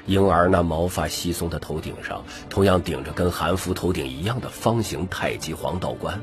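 A man's voice: sits low, moderate pace. A man narrating an audiobook in a scripted, dramatic storytelling style. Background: music.

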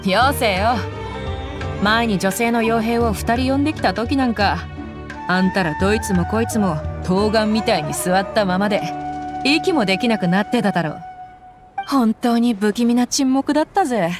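An actress playing a brash, easygoing young mercenary woman in a video game, with rough, self-assured speech. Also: on the high side, normal speed, over music, lightly acted. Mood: casual, teasing. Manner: blunt, tomboyish rough speech.

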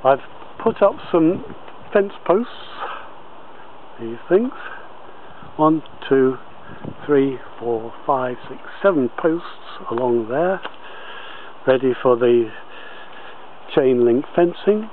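A man giving an informal video tour commentary outdoors as a gardener, pausing often mid-sentence. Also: mid-range voice, lively intonation. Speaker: allotment gardener filming a tour. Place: outdoors on an allotment. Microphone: handheld camera held by the speaker. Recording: muffled lo-fi camera audio, outdoor noise.

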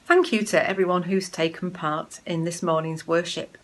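A woman's voice: lowish voice, fairly even intonation, normal speed. A middle-aged woman speaking as a worship leader, giving thanks and prayer. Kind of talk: closing thanks and blessing. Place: living room at home.

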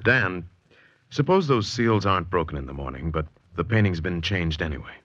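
Boyish anxious painter, lowish husky voice, earnest, questioning, 1940s radio drama. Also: male voice, expressive, normal speed, a bit bright, heavily acted. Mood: uneasy. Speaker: supporting actor. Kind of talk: 1940s radio mystery acting. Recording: muffled 1940s radio recording.

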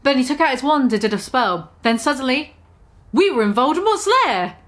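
A woman's voice: high-pitched, lively intonation, fairly quick. A woman in her twenties giving a theatrical, mocking dramatic reading of fan fiction in a small room.

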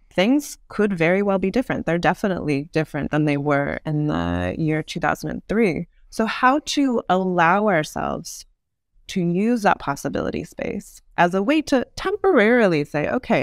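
A woman speaking as an expert interview guest, explaining in long, measured, articulate sentences. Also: lowish voice, sing-song, no echo, studio quality. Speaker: podcast guest, author and urban design expert.

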